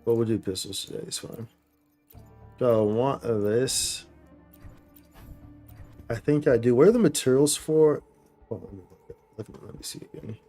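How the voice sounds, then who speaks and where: A man's voice: mid-range voice, normal speed, long pauses, warm tone. A man doing casual live gameplay commentary, thinking aloud in slangy, repetitive phrases. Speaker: game livestreamer. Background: faint game music.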